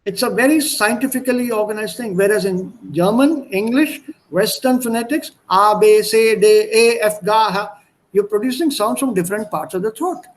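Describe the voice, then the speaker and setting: Male language tutor, Indian accent, high-pitched voice, explains didactically and demonstrates by repetition. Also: moderate pace. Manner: didactic, repeats and demonstrates sounds. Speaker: language teacher. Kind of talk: online language lesson.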